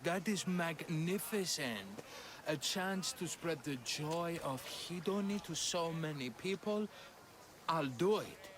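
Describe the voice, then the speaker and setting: An actor playing an affable, self-important enlightenment seeker in a video game, mannered, coy and grandly dramatic. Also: male voice, fairly high pitch, lively intonation, slow, background noise. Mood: rapturous. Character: affable, self-important male devotee, former actor, easily flattered. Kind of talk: video game cutscene acting. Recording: busy crowd murmur behind.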